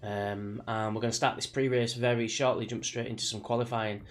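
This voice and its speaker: A man's voice: lowish voice, steady tone, fairly quick. A British man with a slight cold chats casually on a livestream, rambling in a laid-back way like a racing gamer talking to viewers. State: sniffly from a cold. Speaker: live streamer, sim racing driver. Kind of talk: casual livestream chat before a race.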